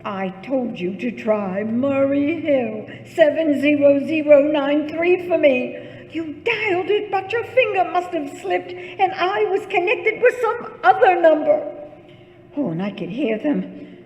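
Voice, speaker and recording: Very high-pitched, fretful invalid wife. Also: female voice, expressive, a little slow, darkish tone, highs cut off. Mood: indignant, scolding. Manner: fretful, flustered, indignant, melodramatic. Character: frail, nervous, imperious invalid wife alone at home. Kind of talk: old-time radio thriller acting. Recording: echoey hall with long reverb.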